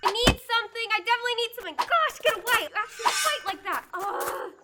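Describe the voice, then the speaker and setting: A woman's voice: extremely high pitch, lively intonation, fast talker, very noisy. A young woman playing a chirpy, naive, feisty young adventurer in a radio play. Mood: feisty, agitated. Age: teenager to young adult.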